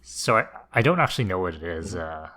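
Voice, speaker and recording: A man's voice: deep voice, lively intonation, brisk, sharp tone. A man in casual conversation as a podcast co-host. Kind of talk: casual chat.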